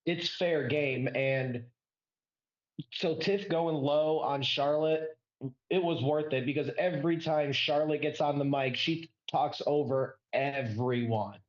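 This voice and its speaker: A man's voice: a little high, takes it slowly. Opinionated man on an echoey, dull line. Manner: opinionated, direct. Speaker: podcast co-host. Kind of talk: casual wrestling discussion.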